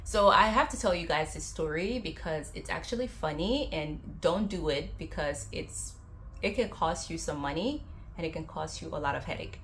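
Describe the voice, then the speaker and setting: Young woman from Liberia, travel vlogger; lowish, conversational, story-driven delivery; faint background hiss. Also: normal speed. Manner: chatty storytelling, acts out quotes with lively jumps. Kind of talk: casual explainer to viewers.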